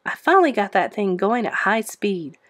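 A woman narrating a children's book aloud in an expressive storybook style. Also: medium pitch, moderate pace.

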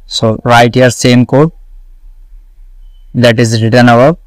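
A man walking through a coding tutorial, slowly repeating each step and answering his own questions. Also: medium pitch, fairly even intonation, no room sound, rough recording. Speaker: web development tutor. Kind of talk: screen-recorded coding tutorial.

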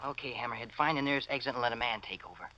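An actor with an American accent playing a cocky, slangy teenage son in a 1940s radio play. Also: male voice, mid-range voice, steady tone, very fast, bright, forceful tone, dull audio, close to an everyday voice. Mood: bossy. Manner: brash, slangy.

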